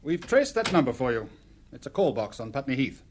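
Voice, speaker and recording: An actor playing a confident, businesslike British police inspector in a radio drama. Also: male voice, medium pitch, very animated, a little hiss or hum, natural voice. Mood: brisk. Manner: businesslike, measured wording, assured.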